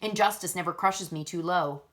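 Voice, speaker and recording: A woman reading as a calm, pious schoolgirl. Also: lowish voice, moderate pace, close to an everyday voice. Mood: earnest, serene.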